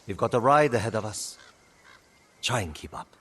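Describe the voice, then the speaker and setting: A man's voice: fairly low pitch, animated, moderate pace, a bit bright. A man playing a wry, battle-hardened island raider in a video game, guarded, terse and plain-spoken with a sardonic edge. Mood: wary, gruff. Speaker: video game character actor. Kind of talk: naturalistic game dialogue acting.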